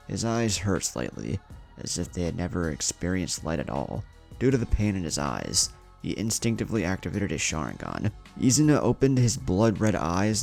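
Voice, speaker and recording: A man's voice: low voice, creaky, a little mellow. A man reading a story as narrator, in a dramatic scripted narration style. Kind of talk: dramatic narrated fan fiction reading with character dialogue.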